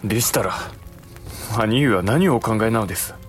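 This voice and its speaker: A man's voice: lowish voice, animated, moderate pace, soft background music, lightly acted. An actor playing an earnest, anxious samurai younger brother and advisor in a Japanese period audio drama, formal and deferential in his questioning.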